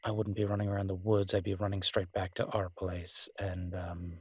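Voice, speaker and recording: Male actor as devoted alpha werewolf lover for romantic audio drama: low, even, intimate, teasing and protective. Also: breathy edge, no echo. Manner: tender, teasing, unhurried pauses.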